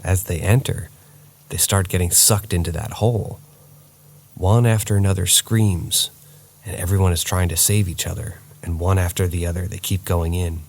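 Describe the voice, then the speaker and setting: Male narrator for true scary stories: deep, gravelly and husky, steady and somber. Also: normal speed. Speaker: narrator reading viewer stories.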